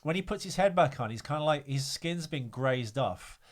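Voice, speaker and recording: A man's voice: fairly high pitch, animated, moderate pace. A man hosting a casual podcast chat. He talks hesitantly, with frequent fillers and trailing "I don't know"s, and there is a frog in his throat. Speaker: podcast host.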